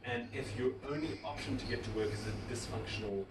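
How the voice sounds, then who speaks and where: South african guy giving an informal church talk, earnest and deliberate, echoey room, lots of loud background noise. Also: on the low side. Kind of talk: informal talk to a small group. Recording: echoey, very noisy room, distant mic.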